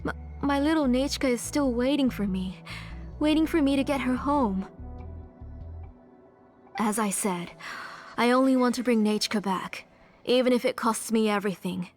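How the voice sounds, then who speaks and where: A woman playing a grieving, obsessed mother in a dramatic video game, speaking in a measured, brooding way. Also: fairly high pitch, a little mellow, natural voice. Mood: anguished. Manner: measured, brooding, tenderly fixated. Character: grieving, obsessed mother, retired name forger. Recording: faint music behind the voice.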